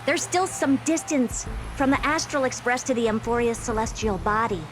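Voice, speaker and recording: An actress voicing a haughty, playful genius researcher in a video game, with a condescending, commanding and theatrical manner. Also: female voice, fairly high pitch, moderate pace, over music, natural voice, rough recording.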